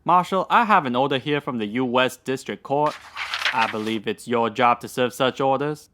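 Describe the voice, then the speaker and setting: A man's voice: mid-range voice, normal speed, background noise, natural voice. A man playing a greedy, hard-hearted land grabber in an old-time radio western, curt, stern and unyielding. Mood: businesslike.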